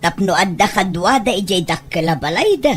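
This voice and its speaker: A husky, animated village gossip played by a woman with a Filipino accent. The voice swoops widely as this chatty, nosy neighbor exclaims through an audio drama scene. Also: medium pitch, moderate pace. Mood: curious. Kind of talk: Ilocano audio drama acting.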